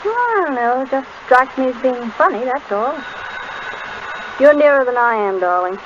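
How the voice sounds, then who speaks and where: A witty, curious wife from an old radio play. Her voice is high and very lively in its swings, affectionate in manner. The old recording has audible noise behind her. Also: normal speed, highs cut off. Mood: teasing, curious. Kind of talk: 1930s radio drama acting.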